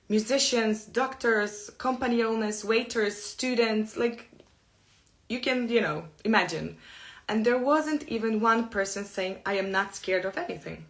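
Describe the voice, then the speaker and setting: A middle-aged woman from Poland, whose first language is Polish, speaking English with a Polish accent. She gives a personal self-help talk in an earnest, open way, in slow and careful phrases. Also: mid-range voice.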